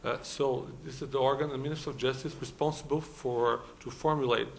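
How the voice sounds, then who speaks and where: A man from Brazil speaks English with a Brazilian Portuguese accent at a conference. His voice sits at a middling pitch, and he talks haltingly, full of "uh"s and restarts. A faint hiss runs under the recording. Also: fairly even intonation, vocal fry. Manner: hesitant, many fillers and restarts. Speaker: law professor, conference panelist. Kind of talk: conference talk. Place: conference hall.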